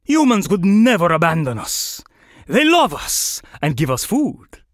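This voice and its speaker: A narrator voicing a slow-witted, haughty male flamingo with a prim, obtuse manner in an audio story. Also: mid-range voice, very animated, dry recording, studio quality. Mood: naive. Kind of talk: audio fiction character voice.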